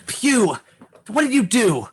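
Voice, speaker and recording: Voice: medium pitch, sing-song, slow pace, slightly rough, heavily acted. A performer playing a flustered, bossy schoolboy in a comedy radio play, hesitant and defensive.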